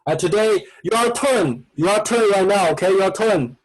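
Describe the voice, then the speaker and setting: A man's voice: sits high, lively intonation, unhurried, rough recording. Coaxing Chinese-accented male teacher. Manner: repetitive, coaxing prompts in broken English. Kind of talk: online language class.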